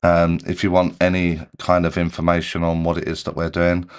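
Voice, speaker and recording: Male live-stream host with a deep voice, congested with a cold, casual and unhurried. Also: smooth. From United Kingdom. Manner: relaxed, rambling, checks audio as he goes. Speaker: medium hosting a live Q&A.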